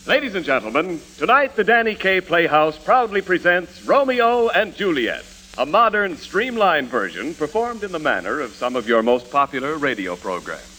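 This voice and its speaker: An actor as a formal, grand announcer narrating a 1940s radio comedy serial. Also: male voice, a little high, sing-song, normal speed, loud music behind the voice, rough recording. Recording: old hissy radio recording.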